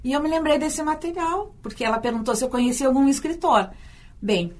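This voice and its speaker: A woman's voice: high-pitched, lively intonation, fairly quick. A Brazilian woman, a university teacher and storyteller, answering in a radio interview, thoughtful and often repeating words as she thinks.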